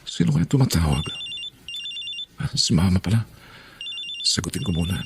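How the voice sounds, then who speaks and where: Low-voiced, earnest grown Filipino son. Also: male voice, animated, moderate pace, background noise. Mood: pleased, determined. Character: earnest, dutiful youngest child, grown and self-supporting. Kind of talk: Tagalog radio drama acting with English phrases.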